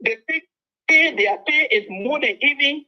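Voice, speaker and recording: A man's voice: extremely high pitch, normal speed, pushed and bright. Liberian man, middle-aged, indignant rambling caller, muffled phone sound. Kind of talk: call-in political commentary.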